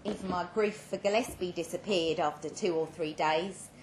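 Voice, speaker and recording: A woman reading a first-person short story dramatically, measured and with deliberate pauses. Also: on the low side. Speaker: story reader. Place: live reading event in an echoing room. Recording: roomy live venue with faint background noise.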